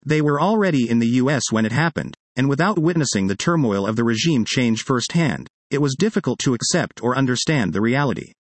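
A man narrates a written article in a mid-pitched voice with animated, varied intonation. The delivery is scripted and read aloud. The recording is dry, with very little room sound. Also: brisk, few pauses, no room sound.

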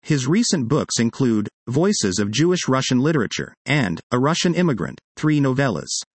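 A man reading a published article aloud in an earnest, measured way, now and then correcting his own pronunciation of place names. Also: medium pitch, very animated, husky, dry recording. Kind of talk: read-aloud article narration.

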